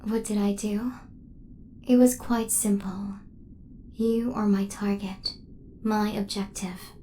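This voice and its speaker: Girl doing a devoted robot lady, asmr roleplay, soft n mellow, very even tone, stiff formal wording, some ambient noise behind. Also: medium pitch, takes it slowly, natural voice. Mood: devoted, protective. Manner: formal, precise, clipped machine-like phrasing. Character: devoted female hunter robot turned protective companion.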